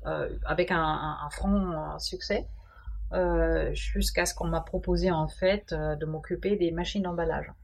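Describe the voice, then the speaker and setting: A woman's voice: fairly low pitch, fairly even intonation, measured pace, vocal fry. Hesitant German woman in French. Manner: hesitant, many fillers. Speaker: guest executive. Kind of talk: podcast interview.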